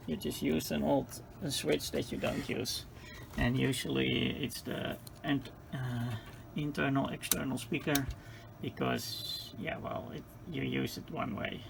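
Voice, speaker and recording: A man giving an informal hands-on technical tutorial, speaking haltingly as he searches for words. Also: medium pitch, vocal fry, background noise. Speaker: presenter of a radio repair tutorial.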